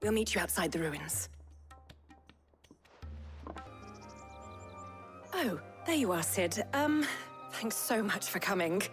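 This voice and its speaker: A woman's voice: mid-range voice, big pitch swings, moderate pace, natural voice. A British woman, acted for a game as an earnest scientist with a mid-range voice. She speaks precisely and politely, a little timid, over faint music. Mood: hesitant, apologetic. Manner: precise, technical, politely hesitant. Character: earnest, bookish, timid female engineer. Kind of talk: video game voice acting.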